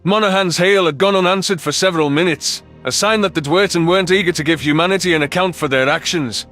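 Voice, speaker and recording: A man's voice: high-pitched, quick pace, fairly bright tone. A man reading scripted, dramatic first-person science fiction narration as an audiobook narrator. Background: background music.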